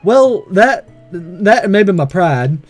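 Dude doing sporty anime schoolgirl, breezy n competitive, high voice, visual novel. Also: big pitch swings, slow pace, darkish tone, soft background music, exaggerated voice. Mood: breezy, cheerful. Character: breezy, competitive, good-natured schoolgirl athlete. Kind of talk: visual novel read-aloud.

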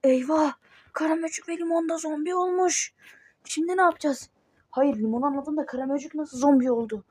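A woman voicing panicky kid characters in a homemade cartoon, with excitable exclamations. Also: high voice, fairly quick, no room sound. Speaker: cartoon voice performer.

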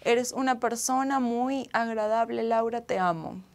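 A young Venezuelan woman with a fairly high voice, hosting a live stream from a small room. She talks casually and playfully, reading out comments and answering them in an expressive, affectionate way. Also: unhurried. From Caracas, Venezuela. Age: young adult. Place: small indoor room with couch and acoustic foam.

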